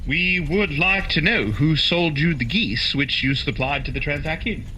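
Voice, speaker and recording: A man playing a polite, earnest gentleman, the loyal companion of a detective, in a radio drama. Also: on the high side, moderate pace, a little hiss or hum, poor audio.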